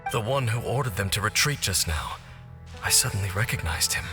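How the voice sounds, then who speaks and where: A solemn, deliberate knight from a fallen kingdom, played by a man in a game cutscene. His voice sits a bit low and every line is measured and formal. The sound carries a long echo over steady music. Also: close to an everyday voice. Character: grave ancient knight, measured and mournful. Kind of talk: video game cutscene voice acting.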